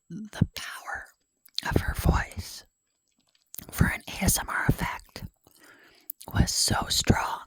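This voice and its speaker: A woman's voice: a bit bright, dry recording, poor audio. A woman whispering a rambling personal story in ASMR style, self-deprecating and full of fillers. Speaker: ASMR creator.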